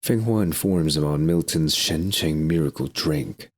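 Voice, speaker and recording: A man's voice: rumbling low, a little slow. A man narrating a serialized audio drama from a script.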